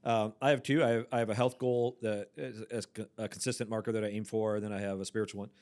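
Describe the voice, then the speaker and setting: A man's voice: fairly low pitch, little pitch movement, darkish tone. Chatty man in his forties. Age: 40s. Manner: chatty, quick asides, laughs often. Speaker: podcast host, pastor. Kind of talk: casual chat.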